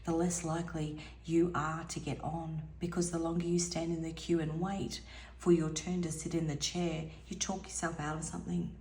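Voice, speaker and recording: A woman giving an intuitive tarot reading in a musing, soft-spoken way, her voice a little hoarse and now and then dropping to a near whisper. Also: low-pitched, flat delivery, normal speed, reverberant, rough recording. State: slightly hoarse, losing her voice. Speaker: tarot reader.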